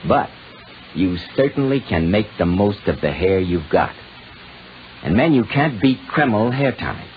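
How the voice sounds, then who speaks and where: Male vintage radio announcer: lowish, very lively, emphatic sponsor read, dull old broadcast sound. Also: a little slow. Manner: polished, emphatic selling delivery. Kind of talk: 1940s radio commercial narration. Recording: muffled, noisy 1940s radio recording.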